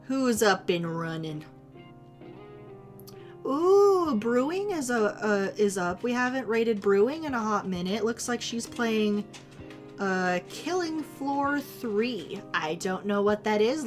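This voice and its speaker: A woman's voice: medium pitch, expressive, a little slow, soft background music. A woman streamer chatting casually with her viewers, rambling and giggling, her voice a little worn as if she is losing it. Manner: giggly, rambling, self-teasing.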